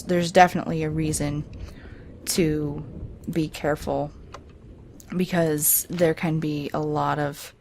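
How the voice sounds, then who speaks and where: Deep, creaky-voiced woman gamer. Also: darkish tone, slight background noise. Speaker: let's play video creator. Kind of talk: casual game commentary.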